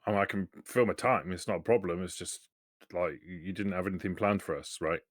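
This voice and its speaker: A man with a fairly low voice plays a tabletop game, chatting loosely in character. The recording is dry, with little room sound. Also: a little fast, no room sound. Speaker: tabletop roleplaying player. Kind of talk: casual improvised in-character chat.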